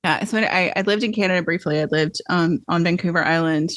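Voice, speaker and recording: Woman, Southern American accent, podcast host, low-pitched, dry close sound, casual storytelling. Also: fast, fairly bright tone, no room sound. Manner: chatty, anecdotal, frequent affirmations. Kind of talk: casual conversational interview.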